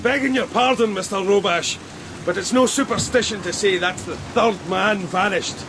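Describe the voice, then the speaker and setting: Loud ambient noise surrounds a shaken common sailor. His voice is high for a man, subdued yet stubborn, in gothic radio horror style. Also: moderate pace. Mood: defensive. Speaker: actor in audio drama. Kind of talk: gothic horror audio drama acting. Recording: loud background rumble and storm ambience.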